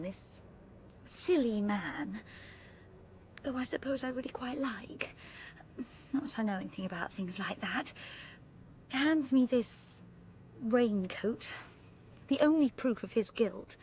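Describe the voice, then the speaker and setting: An actress playing an earnest, conflicted young British woman in a vintage radio drama, speaking emotionally with hesitant pauses. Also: fairly high pitch, expressive, a little mellow, dull audio, a little hiss or hum. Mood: anguished.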